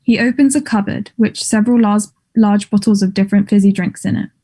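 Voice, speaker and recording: A woman narrates stage directions from a script. Her voice is mellow and warm, at an average female pitch. She delivers each direction in a plain, matter-of-fact way. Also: steady tone, fairly quick, slightly rough.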